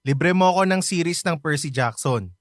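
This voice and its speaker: A man's voice: a little high, normal speed, no room sound, clean studio sound, natural voice. A man playing an easygoing, teasing young friend in a radio drama, casual and playful, with a Filipino accent.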